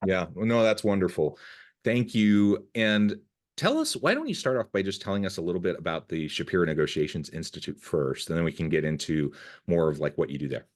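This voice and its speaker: A man's voice: deep voice, sing-song, fairly quick. A man hosting an interview podcast, enthusiastic and upbeat as he talks with his guest over a remote call. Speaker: podcast host. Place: home, south of Salt Lake City.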